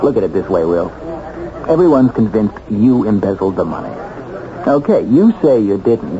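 A skeptical newspaperman in a seventies radio play. He talks in a wry, matter-of-fact way with a lowish, lively voice. Crowd sound sits behind him on a dull recording. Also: gravelly. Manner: casual, matter-of-fact, hesitant pauses. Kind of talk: 1970s radio drama acting.

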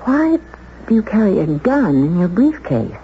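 An actress playing a prim, earnest young secretary in a 1970s radio drama, polite, hesitant and easily indignant. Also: female voice, mid-range voice, big pitch swings, a little slow. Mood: uneasy. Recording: muffled 1970s radio broadcast, some hiss.

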